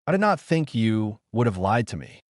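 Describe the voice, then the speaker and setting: A man playing a sinister, dwarfish villain in an audiobook, with a husky, broken growl and curt delivery. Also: on the low side, very animated, moderate pace, no room sound, studio quality. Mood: furious, snarling. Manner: curt, menacing. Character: sinister, snarling, dwarfish villain with sudden fury.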